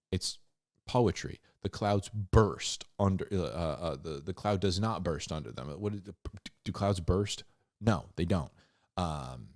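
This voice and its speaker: A man hosting a Bible reading, mixing scripture with informal, slangy commentary and animated asides. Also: low-pitched, no echo, clean studio sound. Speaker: host of a Bible reading show.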